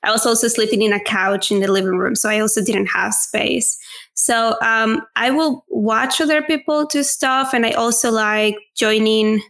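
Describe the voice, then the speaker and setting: A woman's voice: on the high side, moderate pace, a bit bright. A woman in her twenties from Venezuela, a native Spanish speaker, answering interview questions candidly with frequent fillers. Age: 20s. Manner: open, candid, with filler words like "like" and "um". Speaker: podcast guest.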